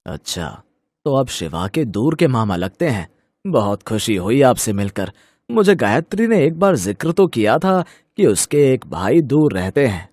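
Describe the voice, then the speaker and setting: A man voicing a gentle, trusting elderly village father in a story, soft-spoken and paternal. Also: mid-range voice, sing-song, measured pace, no echo, clean studio sound.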